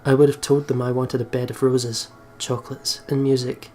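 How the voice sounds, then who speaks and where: A man talks through a video game in a casual let's play style, reading story text aloud and adding his own commentary. His pitch sits in a middle range, with some game music behind him. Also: level tone, normal speed, darkish tone. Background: visual novel game music.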